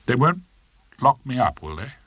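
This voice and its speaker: A man voicing an anxious young woman, a teacher, quiet and hesitant, in an audiobook. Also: medium pitch, big pitch swings, moderate pace, no echo, muffled recording, voice pushed up.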